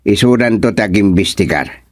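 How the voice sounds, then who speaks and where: A man's voice: on the low side, expressive, normal speed, no echo, clean studio sound, natural voice. A man playing a stern, commanding village official in an Ilocano radio comedy, firm and declamatory. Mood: businesslike.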